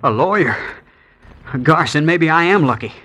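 An earnest young prospector. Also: male voice, a little high, lively intonation, moderate pace, bright, forceful tone. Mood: anxious. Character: wounded young male gold prospector. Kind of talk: 1950s radio drama acting.

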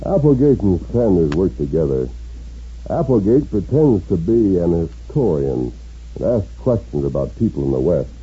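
An actor playing a steadfast masked western hero, with a deep husky American voice, in a 1950s radio drama. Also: male voice, sing-song, normal speed, warm tone, full character voice. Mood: earnest, purposeful. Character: steadfast masked western hero, grown man, earnest and commanding. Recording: dull 1950s radio recording.

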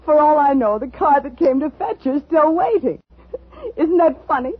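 An actress playing an unstable, wronged woman with brittle sweetness and theatrical, drawn-out intensity in 1950s radio style. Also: high voice, animated, no echo. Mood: hysterical, unhinged. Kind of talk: 1950s radio drama acting. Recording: tinny old radio broadcast with music.

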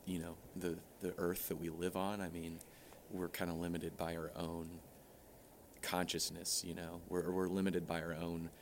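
Low, flat, halting man. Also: slight background noise. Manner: hesitant, halting, full of uh and restarts. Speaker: interview guest. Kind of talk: comedic mock interview.

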